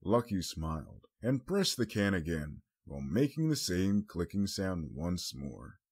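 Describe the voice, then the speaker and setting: A man's voice: sits low, very animated, measured pace, a little mellow, slightly rough. A man reading scripted fiction aloud as an audiobook narrator.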